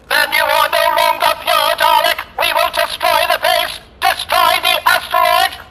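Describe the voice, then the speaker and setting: A ruthless alien war machine barks staccato orders in a science fiction audio drama. The voice is piercingly high and electronically harsh, delivered at a slow, deliberate pace. It rings in a long reverb over loud music. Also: male voice, heavily acted. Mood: fanatical, urgent. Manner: barked, staccato commands. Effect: harsh electronic robot voice filter.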